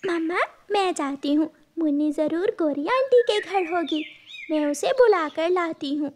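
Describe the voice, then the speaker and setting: A woman's voice: extremely high pitch, animated, measured pace, darkish tone, slight background noise, pitched above the performer's own voice. A woman voicing a dutiful little girl bird in a cartoon story, earnest and pleading in manner. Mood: helpful.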